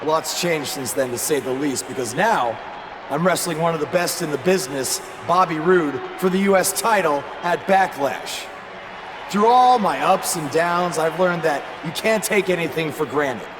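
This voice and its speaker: A man playing a humble young underdog wrestler giving a sincere, deliberate promo in a video game. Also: on the high side. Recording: noisy arena PA with long reverb.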